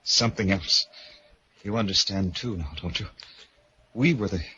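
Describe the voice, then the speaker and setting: An actor playing a tense, haunted husband with a halting, urgent delivery in 1940s radio drama style. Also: male voice, low voice, sharp tone. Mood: grave. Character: tense, haunted husband gravely sure of doom. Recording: muffled, noisy 1940s radio recording.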